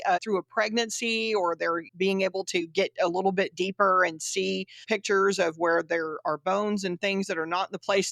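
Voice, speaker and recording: A woman hosting a casual interview, upbeat and quick to laugh. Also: medium pitch, a bit bright, dry recording. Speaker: host.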